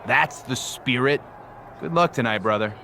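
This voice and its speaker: A friendly but competitive male wrestler in a video game. The voice is medium-pitched and very bright, with a little background noise. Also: moderate pace, vocal fry. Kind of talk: cinematic sports game dialogue.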